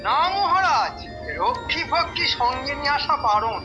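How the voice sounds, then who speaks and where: A man's voice: very high voice, lively intonation, normal speed, dull audio. A man voicing a sly, envious royal minister in a cartoon, with an oily, scheming way of talking. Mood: sly, conspiratorial. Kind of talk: cartoon voice acting. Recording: loud music behind the voice.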